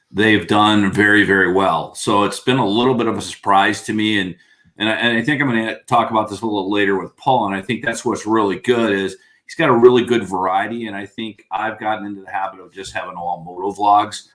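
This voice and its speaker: A man's voice: lowish voice, a bit bright. A man hosting a casual online chat show, talking in a rambling, upbeat way with many restarts. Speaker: livestream host.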